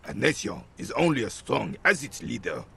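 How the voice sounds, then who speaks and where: An actor playing a rigid, proud old royalist soldier in a video game, with a raspy voice and a pompous, emphatic delivery. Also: male voice, medium pitch, very animated, moderate pace, fairly bright tone, a little hiss or hum, exaggerated voice. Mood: proud, fervent. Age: older. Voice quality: gravelly, raspy.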